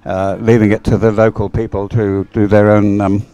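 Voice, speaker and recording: A man's voice: on the low side, little pitch movement, normal speed, warm tone. A man giving unscripted live race commentary in an understated way, thinking aloud as he goes. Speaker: sports commentator.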